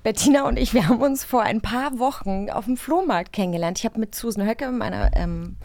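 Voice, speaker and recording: A woman chatting casually as a podcast host, with an animated, playful way of telling stories. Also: on the high side, a little fast, no echo, clean studio sound. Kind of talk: casual chat.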